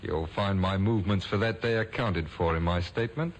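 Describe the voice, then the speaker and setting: An actor playing a smooth, evasive male murder suspect in an old radio drama, suave and overly polite. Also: low-pitched, a little fast, natural voice. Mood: composed. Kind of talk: 1950s radio drama acting. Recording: old radio broadcast with faint hiss.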